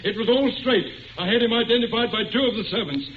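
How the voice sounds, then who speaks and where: A man's voice: extremely high pitch, fast talker, slight background noise. An actor playing a frantic gang member who insistently pleads his innocence, in 1940s radio style. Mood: desperate pleading. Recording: tinny, echoey old radio recording.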